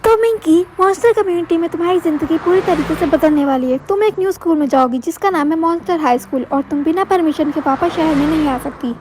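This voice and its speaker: A woman voicing a polite adoption official in animated story dialogue, giving instructions in a brisk, reassuring manner. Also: extremely high pitch, poor audio. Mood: instructive. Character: polite placement official handing over an adopted girl.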